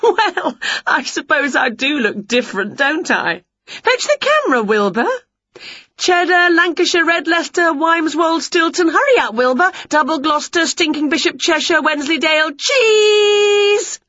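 Woman putting on a high, swooping, scatty witch. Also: lively intonation, a little slow, sharp tone, dry recording, highs cut off, full character voice. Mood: gleeful. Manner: exclamatory, colloquial, comically grumbling. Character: excitable scatty witch with skinny legs, daft and cheeky.

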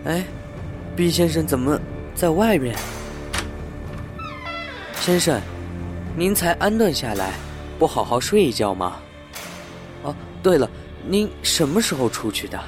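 A man's voice: fairly high pitch, very animated, measured pace, pauses often. A man playing a courteous, hesitant and easily puzzled building manager who also narrates a sci-fi radio drama. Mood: puzzled, flustered. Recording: roomy sound with music underneath.

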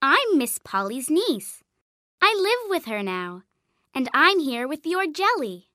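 Cheerful little girl, storybook dialogue, high-pitched, very animated, eager, guileless, earnest. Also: slow, no room sound, studio quality, full character voice. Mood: bright, eager. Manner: bright, earnest, exclamatory.

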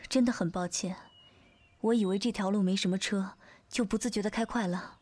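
A woman's voice: mid-range voice, fairly even intonation, fairly quick, a little mellow, dry recording, lightly acted. A woman acting a courteous, composed and apologetic young woman in a Chinese audio drama. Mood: apologetic, soft.

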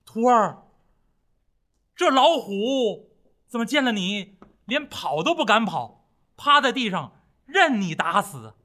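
A man's voice: a little high, big pitch swings, normal speed, pauses often, a bit bright, voice pushed down, exaggerated voice. A man voicing a timid, easily startled monk master in an oral tale, solemn and fretful. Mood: amazed.

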